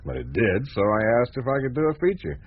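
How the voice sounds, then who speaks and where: An actor playing a blunt, self-assured middle-aged American newspaper reporter in a radio drama. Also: male voice, on the low side, wildly swooping pitch, quick pace, husky, lo-fi sound, heavily acted. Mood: reminiscing. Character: cocky, gut-trusting veteran American male newspaper reporter. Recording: thin band-limited radio recording.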